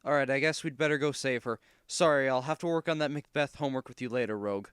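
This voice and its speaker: A man's voice: medium pitch, fast talker, fairly bright tone, clear, resonant tone, no echo, studio quality, close to an everyday voice. A man voicing a clueless, whiny young superhero team leader, easily exasperated, in a cartoon parody dub. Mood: resigned.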